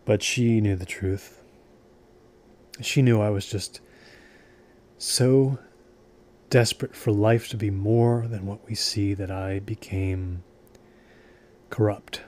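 An actor playing a haunted, obsessive, embittered man in an audio drama, speaking in a halting, deliberate way. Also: on the low side, fairly even intonation, darkish tone. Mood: weary, confessional. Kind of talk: audio drama acting, brooding confessional storytelling.

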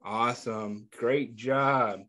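A man reading a picture book aloud to young children, pausing often to ask them questions and praise their answers, and calling himself by name in the third person. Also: mid-range voice, steady tone. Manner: gentle, patient, asks questions and pauses for answers. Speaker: children's story reader.

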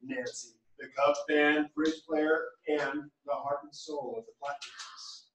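A man's voice: fairly high pitch, steady tone, measured pace, fairly bright tone, slight background noise. A man acting a chatty, teasing grown son in a staged theatre reading. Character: chatty, teasing grown son charming his mother's friends. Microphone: distant camera. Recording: echoey theatre, recorded from far off.

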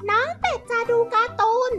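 A woman's voice: brisk, muffled recording, over loud music, close to an everyday voice. A woman voicing a carefree, lazy little schoolgirl in a children's cartoon, with a childish, whiny, breezy manner. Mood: stubborn. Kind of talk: children's cartoon story acting.

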